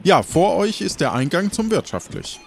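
A man narrating an improvised pirate adventure as game master, pausing as he invents the scenes. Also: high-pitched, sing-song, vocal fry, a little hiss or hum.

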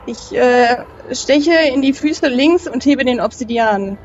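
Female role-play participant, fairly high voice, first-person move calls, noticeable background noise. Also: slow pace, lo-fi sound. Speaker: player in a role-playing game. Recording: clearly audible background noise.

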